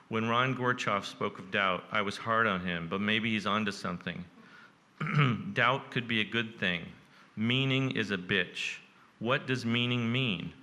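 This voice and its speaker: A man moderating a live event, reading journal excerpts aloud in a measured, deliberate way. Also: on the low side, pushed and bright.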